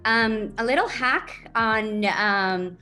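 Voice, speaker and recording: A woman's voice: a little high, slow pace, sharp tone, faint music behind. Middle-aged woman. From Colombia. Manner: earnest, reflective, hesitant with many ums. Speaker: university diversity officer, guest. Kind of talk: guest telling her story and giving advice.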